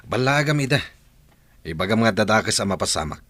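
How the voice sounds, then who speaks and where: A man playing a sly, smooth-talking lover in a radio drama. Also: lowish voice, expressive, a little fast, a bit bright, no room sound.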